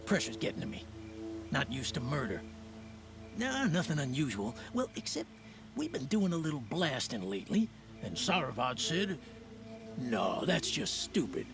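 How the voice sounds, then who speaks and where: Stressed quarry worker, high voice, hugely swinging pitch, blunt sarcasm, game dialogue over music. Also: male voice, very animated, normal speed. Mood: shaken. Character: rattled, sarcastic male quarry worker facing murders. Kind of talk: video game character dialogue.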